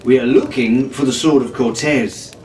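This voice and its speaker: A man voicing a cocky, excitable teenage pirate captain in an audiobook. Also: medium pitch, moderate pace, lightly acted. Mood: smug. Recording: echoey room, distant mic, faint hiss.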